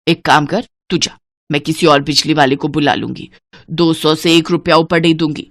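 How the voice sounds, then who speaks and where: A woman's voice: deep voice, fairly even intonation, moderate pace, no echo, studio quality, voice pushed down. A woman voicing a stingy, cunning older mother-in-law who scolds and gives orders in an audio story. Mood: annoyed, firm.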